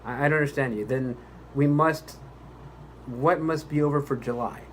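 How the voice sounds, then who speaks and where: A man's voice: mid-range voice, moderate pace, natural voice. Male actor as pompous commercial narrator, exasperated and condescending, mid-range voice, slight room noise. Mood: indignant. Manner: grandiose, indignant, condescending. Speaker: voice-over actor. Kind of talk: re-enacted commercial recording outtake.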